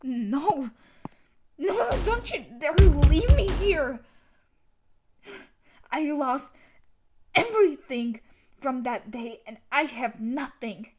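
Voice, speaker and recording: A woman performing a dramatic audio roleplay as a tough yandere bully turned desperate, with intense emotional delivery and a breathy voice that often sinks toward whispers. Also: sits high, lively intonation, slow pace, pauses often, background noise. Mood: furious, frantic. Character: tough sadistic yandere bully wife, now desperate and remorseful.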